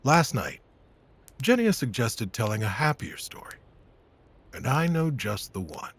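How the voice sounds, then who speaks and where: Gravelly, courteous knight. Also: male voice, mid-range voice, sing-song, a little slow, a bit bright, a little hiss or hum. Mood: calm, wry. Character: courteous, reassuring veteran knight hero. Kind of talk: audio drama acting.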